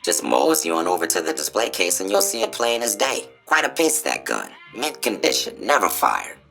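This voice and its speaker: A man's voice: mid-range voice, huge pitch swings, normal speed, gravelly, fairly bright tone, faint music behind, heavily acted. A man playing a folksy, boastful cowboy robot spokesbot in a video game, with a showy American cowboy drawl. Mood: stubborn, folksy. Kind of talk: theatrical Old West tall-tale patter.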